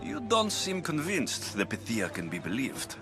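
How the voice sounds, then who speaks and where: A young mercenary hero from a video game, speaking over clear background music. He is wary and blunt, with a brooding, vengeful edge. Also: mid-range voice, huge pitch swings, normal speed. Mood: skeptical. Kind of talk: video game cutscene acting. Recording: music behind the voice.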